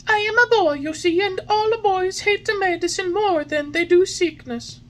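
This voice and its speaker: A woman voicing a whiny, stubborn puppet boy in a high, shrill voice. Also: moderate pace. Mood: whining. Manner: shrill, whining, loud.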